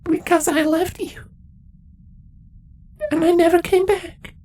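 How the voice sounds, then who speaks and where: A man voicing a grieving changeling mother in a trembling, pleading falsetto. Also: little pitch movement, takes it slowly, darkish tone, heavily acted.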